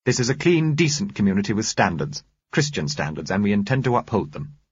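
A man voicing a stern, self-righteous woman community leader in an audiobook, with a sharp, clipped, sanctimonious manner. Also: on the low side, expressive, quick pace, husky, no echo, highs cut off, pitched above the performer's own voice. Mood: sharp, indignant.

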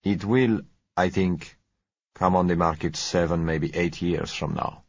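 Guy reading as smooth polite oil dealer, slow and gentle, low husky voice, kinda dull lo-fi sound. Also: fairly even intonation, dry recording, exaggerated voice. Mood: smooth, measured. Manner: unhurried, gentle, courteous. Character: suave polite male foreign oil dealer. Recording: dull lo-fi audio.

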